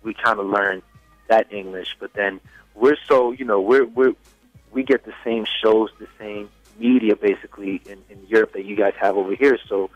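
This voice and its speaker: A young man whose first language is Swedish, chatting easily on a radio show. His voice sits a little low and he keeps circling back with "you know" and "like". The studio sound is dry, with faint music playing underneath. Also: steady tone, normal speed, no room sound, lo-fi sound. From Sweden. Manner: laid-back, many fillers like "you know". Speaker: singer guest. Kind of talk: casual interview chat.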